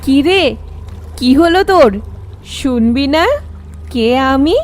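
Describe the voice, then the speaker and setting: Woman acting a slow, high-voiced vengeful ghost woman. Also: animated. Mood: menacing, taunting. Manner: slow, drawn-out, taunting delivery. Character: vengeful ghost of a drowned young wife, menacing and bitter. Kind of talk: dramatic horror story voice acting. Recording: music bed under the voice.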